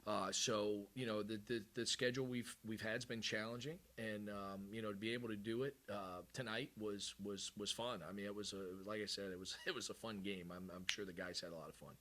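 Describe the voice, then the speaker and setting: A man's voice: fairly low pitch, steady tone, brisk, hardly any pauses, a bit bright. A middle-aged man, a head coach, giving candid, rambling postgame press conference answers with frequent restarts.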